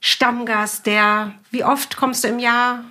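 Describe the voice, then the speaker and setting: A woman's voice: a little high, little pitch movement, takes it slowly, bright, forceful tone. A middle-aged woman from a German North Sea island, hosting a podcast with narration and conversational interviewing. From Juist, North Sea island in Germany. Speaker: podcast host.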